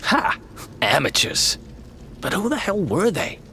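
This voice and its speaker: Casting: roguish young game companion, cocky and casual, very expressive, lighter-pitched voice. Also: male voice, measured pace, a little hiss or hum, heavily acted. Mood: cocky, relieved. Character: cocky young male thief, brash but loyal companion. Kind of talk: video game cutscene acting. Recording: music behind the voice.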